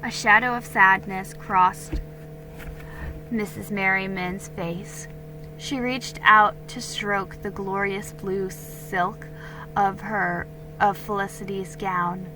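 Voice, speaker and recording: A woman's voice: medium pitch, fairly even intonation, slow, pushed and bright, faint music behind, rough recording. A woman giving a dramatic storybook narration, now and then stumbling and repeating words. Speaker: amateur story reader.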